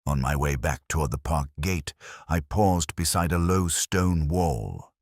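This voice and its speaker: A man voicing a weary, melancholy older police detective in a sombre, reflective, measured first-person mystery narration. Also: very deep voice, animated, darkish tone, no echo, studio quality, exaggerated voice.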